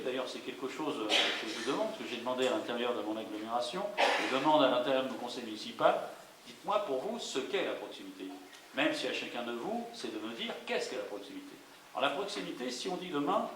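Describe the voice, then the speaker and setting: A man's voice: mid-range voice, fairly bright tone. A man speaking off the cuff as a local elected official at a public debate, explaining and posing rhetorical questions. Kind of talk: unscripted political speech at a public debate. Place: public meeting hall. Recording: echoey hall, faint hiss.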